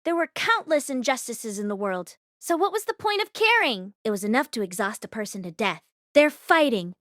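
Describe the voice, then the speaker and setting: High-voiced woman reading a story expressively. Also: sing-song, fairly quick, fairly bright tone, no echo, clean studio sound. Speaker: audiobook read-along host.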